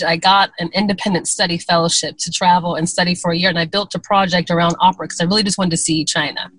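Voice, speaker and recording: A woman's voice: on the low side, a bit bright, no room sound, rough recording. A woman in her forties from Chicago, answering interview questions in a reflective, storytelling way. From Chicago, USA. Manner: reflective, storytelling, light laughs. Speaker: podcast guest.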